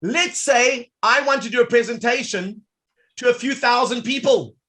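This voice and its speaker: A man presenting a live online workshop, demonstrating software in an upbeat, showman-like way that talks directly to the audience. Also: very high voice, moderate pace, a crowd in the background.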